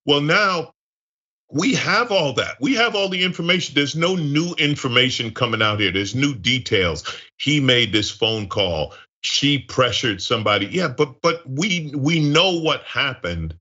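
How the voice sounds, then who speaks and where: A man's voice: medium pitch, animated, unhurried, bright, forceful tone, lo-fi sound. A man giving conversational political commentary as a guest, wry and emphatic, repeating phrases for effect.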